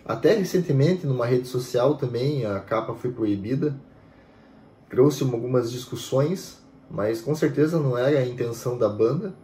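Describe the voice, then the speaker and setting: A man's voice: mid-range voice, moderate pace, a little hiss or hum. Man explaining in an echoey room. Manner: steady, explanatory, frequent "né" fillers. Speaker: music history channel host. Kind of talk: explanatory talk to camera. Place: home room with bookshelves.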